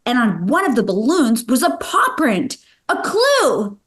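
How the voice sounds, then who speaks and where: Woman as an animated young rescue-team boy leader. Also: a little high, measured pace, heavily acted. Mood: earnest. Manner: animated, earnest. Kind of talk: table read of a children's story script.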